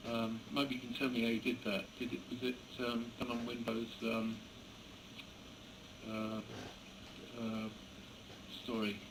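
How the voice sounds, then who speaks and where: A man chatting casually as an amateur radio operator, halting and hesitant as he talks. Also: medium pitch, monotone, background noise. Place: indoors beside a computer.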